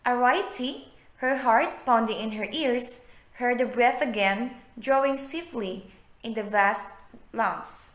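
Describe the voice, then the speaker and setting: A woman narrating a story in a measured, hushed way for a reader's theatre. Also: a little high. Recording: echoey room.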